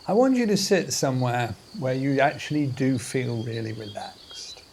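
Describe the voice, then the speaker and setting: A man leading a guided meditation as a teacher, in measured, deliberate phrases with pauses between them. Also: medium pitch, animated, gravelly, darkish tone, slight background noise. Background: music and outdoor insect sounds.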